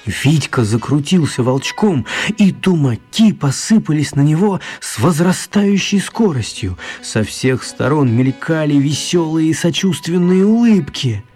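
A man narrating a children's story for radio in an expressive storytelling style. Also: a little high, a little slow, faint music behind.

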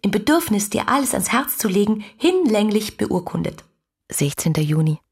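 A woman's voice: mid-range voice, very animated, moderate pace, darkish tone, slightly rough, heavily acted. An actress in a radio drama playing an impassioned, infatuated female letter writer of the early nineteenth century, speaking in a poetic, intimate way. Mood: tender, devoted.

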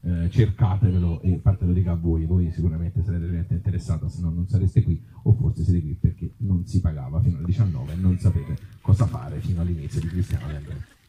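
A man's voice: low-pitched, steady tone, a little fast, warm tone, slight background noise, low-quality recording. A man talking informally on stage as an author presenting his work, wry and self-deprecating. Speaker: author and actor presenting his own book. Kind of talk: informal live stage talk. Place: outdoor festival in an olive grove.

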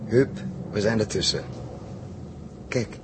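An actor playing an earnest, resolute spaceship captain with a husky voice and weighty, deliberate delivery in a 1960s radio drama. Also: male voice, fairly low pitch, noisy background. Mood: calm command. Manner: weighty, deliberate, halting over phrases. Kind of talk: 1960s Dutch science fiction radio drama acting.

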